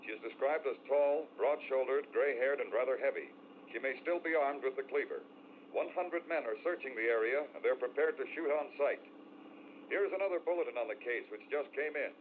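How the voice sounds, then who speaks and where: An actor playing a grave, urgent news announcer heard through a car radio in an old-time radio drama. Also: male voice, mid-range voice, fairly quick. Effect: car radio speaker. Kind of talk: 1940s radio newscast acting. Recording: tinny, noisy old radio.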